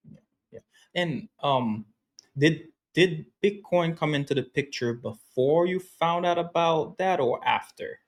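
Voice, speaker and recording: A man's voice: a little high, unhurried. Chatty podcast host man in his thirties. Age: 30s. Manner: casual and chatty, laughs easily. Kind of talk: casual podcast interview.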